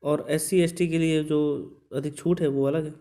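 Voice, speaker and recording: Guy doing an exam coaching explainer, slightly high voice but relaxed and mellow, reads stuff out then explains it casually. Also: moderate pace. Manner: conversational, checks in with repeated short tag words. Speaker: exam coaching instructor and channel host. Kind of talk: informal explanatory lesson, reading out a recruitment notice.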